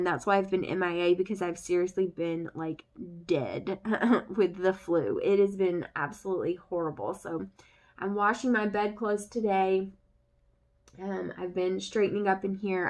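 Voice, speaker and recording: A young woman vlogging casually, rambling with lots of fillers, her voice still congested from the flu. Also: lowish voice. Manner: chatty, rambling with many fillers. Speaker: family vlogger. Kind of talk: casual chat to camera.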